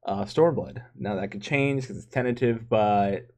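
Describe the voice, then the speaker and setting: A man's voice: lowish voice, normal speed, a little mellow. A man co-hosting a casual gaming podcast, who talks in stop-start bursts, restarts his sentences and laughs often. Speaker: podcast co-host.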